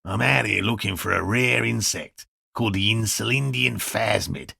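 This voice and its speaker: Casting: fussy, proud cryptozoologist for a video game. Lowish, very creaky voice, bookish and formal delivery. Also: male voice, normal speed, pushed and bright, no echo, studio quality, full character voice. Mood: earnest, matter-of-fact. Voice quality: gravelly, husky. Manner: precise, bookish, formal wording. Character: earnest, fussy cryptozoologist, a proud outsider scientist. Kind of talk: video game dialogue acting.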